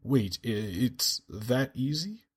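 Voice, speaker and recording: Defensive broadcaster acted out, radio drama, bewildered, slow-paced, swooping intonation. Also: medium pitch, lively intonation, breathy edge. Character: defensive, alarmist culture-warrior broadcaster, bewildered and slowly relenting.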